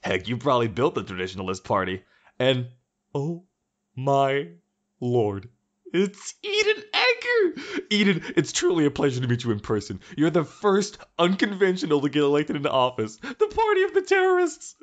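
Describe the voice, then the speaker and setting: A man's voice: fairly high pitch, wildly swooping pitch, normal speed, muffled recording. A man playing a gleefully menacing young tyrant president in an audio drama, sarcastic and mock-friendly. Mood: mock delight.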